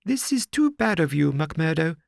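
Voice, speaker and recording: A man voicing a fussy, nervous middle-aged gentleman with a high, fretful way of talking. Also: sing-song, moderate pace, gravelly, no echo, studio quality.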